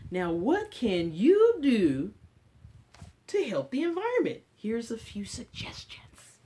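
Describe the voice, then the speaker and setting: Animated, slow-paced woman reading to children. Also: a little high. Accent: American. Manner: teacherly, asks and answers questions, simple short phrases. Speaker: children's story time host and reader. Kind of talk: story time talk and read-aloud for children.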